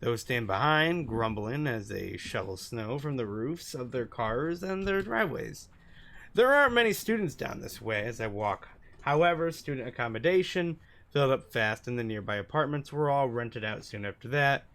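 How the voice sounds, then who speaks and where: A man's voice: medium pitch, animated, normal speed, fairly bright tone. A man doing let's play commentary and reading game text aloud, sometimes stumbling over the words. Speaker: gaming YouTuber. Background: background music.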